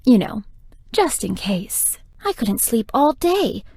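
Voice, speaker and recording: A woman's voice: on the high side, big pitch swings, slow pace, dark tone, slightly rough, no echo, heavily acted. A woman voicing a flirtatious, playful, theatrical vampire in a video game, teasing and melodramatic. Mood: playful, confiding. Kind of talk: scripted video game character dialogue.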